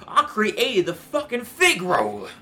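A man's voice: high-pitched, wildly swooping pitch, slow, sharp tone, soft background music, full character voice. A man playing a pompous, crude biscuit heir and war veteran in a darkly comic radio play, with a theatrical delivery. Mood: defiant.

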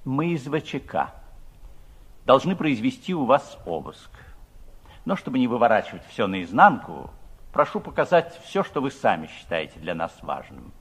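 A polite secret-police officer who will not bend. The husky voice is measured yet swings widely in pitch, with dry irony, in old radio-play style. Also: male voice, big pitch swings. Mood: firm, official. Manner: polite, measured, firm, ironic. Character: courteous, unyielding secret-police officer leading a search. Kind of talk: 1960s Soviet radio drama acting. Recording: 1960s radio play tape.

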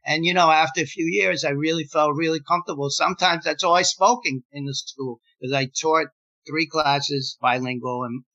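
A man's voice: on the high side, fairly even intonation, moderate pace, pushed and bright. A man in his sixties from Gibraltar whose first language is Spanish, a playwright talking in a casual interview, rambling with many fillers and restarts. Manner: rambling, many fillers and restarts, laughs while telling stories. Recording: dull lo-fi sound.

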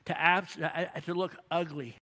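A man preaching a sermon, telling humorous stories with animated emphasis. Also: fairly high pitch, normal speed, big-room sound. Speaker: pastor.

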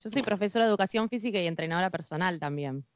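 A woman's voice: on the low side, expressive, racing, no room sound. A woman with a Rioplatense Spanish accent hosting a conversational interview podcast in an enthusiastic manner. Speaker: podcast host.

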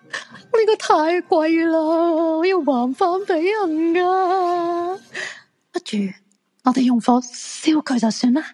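A woman's voice: very high voice, lively intonation, slow pace, a little mellow, full character voice. A woman with a Hong Kong Cantonese accent playing a fussy female crystal fortune teller in a supernatural radio drama. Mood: whining, reluctant.

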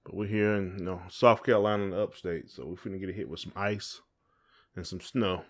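A man's voice: low-pitched, a little fast. Southern American man, casual and teasing. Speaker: podcast co-host. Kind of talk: casual chat.

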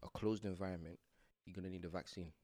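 A young man co-hosting a casual podcast, talking fast and teasing, laughing often. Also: low voice, fairly even intonation, dark tone. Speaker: DJ and podcast co-host.

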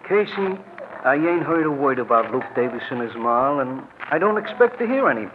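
A man's voice: mid-range voice, big pitch swings, a little fast, lightly acted. An American man playing a cagey, slangy bar-owner informant in 1940s radio drama style. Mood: cagey, familiar. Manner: ungrammatical underworld slang. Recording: noisy, tinny old radio recording.

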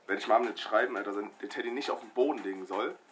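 A young man with a slight cold-roughened hoarseness, talking casually and energetically to viewers about a prank. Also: on the low side, rapid-fire, background noise. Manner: energetic, slangy, addresses viewers directly. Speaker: prank video creator. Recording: music playing behind voice.

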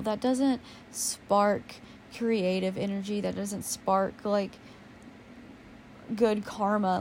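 Low-voiced woman, candid and rambling. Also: vocal fry, darkish tone, a little hiss or hum. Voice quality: naturally low voice. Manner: rambling, candid, swears casually, self-deprecating. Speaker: podcast host. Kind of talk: casual solo podcast monologue.

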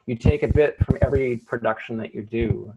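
A young man, an opera tenor, answering interview questions in a thoughtful, halting way with many restarts. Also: mid-range voice, vocal fry, narrow, tinny audio, heavy background noise. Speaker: opera singer, light lyric tenor. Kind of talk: interview conversation.

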